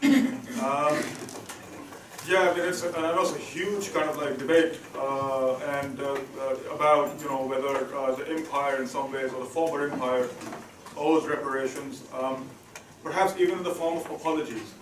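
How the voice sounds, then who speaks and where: A man's voice: mid-range voice, steady tone, moderate pace. A man moderating an academic panel discussion, hesitant and full of fillers, slipping between English and Hindi-Urdu. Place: university seminar room. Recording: echoey room, distant mic, background hiss.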